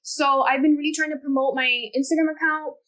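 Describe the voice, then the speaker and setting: A teenage girl from Miami speaks in a bright, high register, earnest and eager as she explains things in long, quick runs of thought. She sounds like a student guest on a casual interview podcast. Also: flat delivery. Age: teens.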